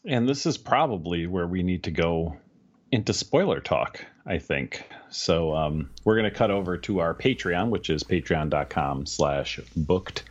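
Deep-voiced man chatting on a podcast. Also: animated, unhurried, husky, lo-fi sound. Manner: rambling, self-correcting, candid. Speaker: podcast co-host. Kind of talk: casual podcast chat.

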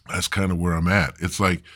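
A man hosting a solo comedy podcast, rambling casually and crudely with drawn-out, mock-grandiose theatrical delivery. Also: deep voice, fairly bright tone, slightly rough, dry recording, studio quality. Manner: crude, foul-mouthed. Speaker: podcast host.

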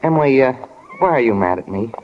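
A man's voice: medium pitch, expressive, fast, close to an everyday voice. An actor playing an eager, earnest small-town young man in love, boyish, polite and folksy, in 1940s radio drama. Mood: shy, earnest. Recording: dull, noisy 1940 radio recording.

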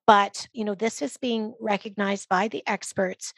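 Female expert interviewee, medium pitch, conversational and explanatory, very dry recording. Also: steady tone, a little slow, dry recording. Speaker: literacy program guest expert.

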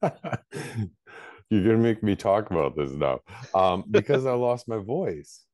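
A man's voice: lowish voice, lively intonation, slow pace, darkish tone, no room sound, clean studio sound. A man who is a pastor and book editor, co-hosting a podcast in casual conversation, chatty with lots of fillers. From United States. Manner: chatty, many fillers, laughs often.

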